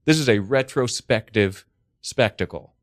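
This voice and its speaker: A man plays an indignant, smug homeowner in an improvised comedy scene. His pitch swings freely as he protests and argues, stubborn throughout, and the sound is close and dry. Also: lively intonation, unhurried, dry recording, lightly acted. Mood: smug defiance.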